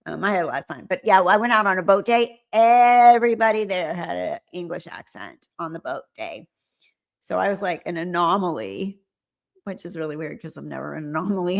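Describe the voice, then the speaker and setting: Chatty American woman with gravelly fry. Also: fairly low pitch, a little fast. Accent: General American. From United States. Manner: chatty, animated, rambling. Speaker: livestream host. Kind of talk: casual livestream chat.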